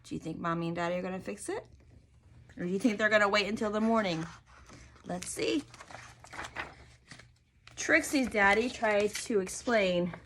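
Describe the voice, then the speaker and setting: A woman teacher reading a picture book aloud to young children, enunciating deliberately and prompting them with questions. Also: medium pitch, slight background noise.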